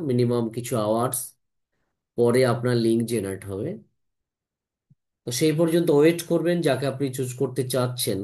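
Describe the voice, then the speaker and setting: A man hosts an informal online team meeting, repeating himself, often adding tag questions and mixing in English words. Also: on the high side.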